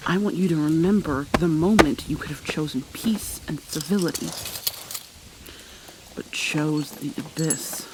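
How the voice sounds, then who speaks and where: A woman playing a possessive yandere captor in an ASMR roleplay, tender and menacing, coaxing with pet names in a soft, breathy voice. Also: low voice, measured pace, background noise. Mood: cold menace.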